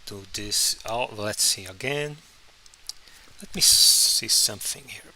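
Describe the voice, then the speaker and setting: Portuguese-native guy rambling slow while drawing on stream, lots of uh and restarts, desk mic. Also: fairly low pitch, pushed and bright. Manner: halting, many restarts and fillers. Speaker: comic artist streaming his drawing. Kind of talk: casual live-stream chat while drawing.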